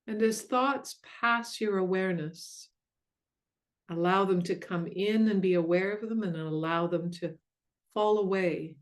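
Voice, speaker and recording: A woman leading a guided meditation in a gentle, soothing, unhurried way with long pauses. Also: medium pitch. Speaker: meditation guide and mindfulness coach.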